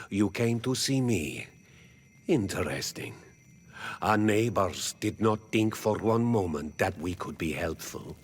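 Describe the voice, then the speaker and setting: Slow, low-voiced old clan elder. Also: male voice, a little hiss or hum. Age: older. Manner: slow, deliberate. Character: calm old native clan elder, wise and wry. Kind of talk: video game dialogue acting.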